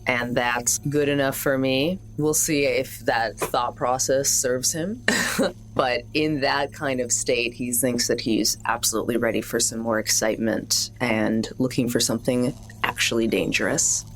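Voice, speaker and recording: A woman's voice: very deep voice, steady tone, unhurried, faint music behind, low-quality recording. A woman chatting casually and a little hesitantly as she improvises as a player in a tabletop roleplaying game.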